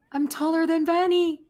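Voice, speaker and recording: A woman in her twenties from the Philippines, a guest chatting playfully and giggling often. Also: very high-pitched. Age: 20s. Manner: giggly, playful, animated storytelling. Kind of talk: casual chat.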